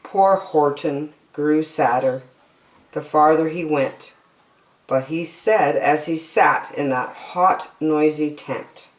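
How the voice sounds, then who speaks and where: A woman storyteller reading a children's storybook expressively, with dramatic, deliberate phrasing. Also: deep voice. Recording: roomy echo.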